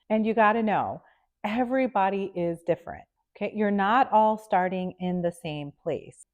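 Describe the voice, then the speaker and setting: A woman's voice: medium pitch, a little mellow, muffled recording. A woman nutritionist vlogging to camera, upbeat and chatty, with a nasal sound from a cold, checking in with "okay?". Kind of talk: direct-to-camera health vlog.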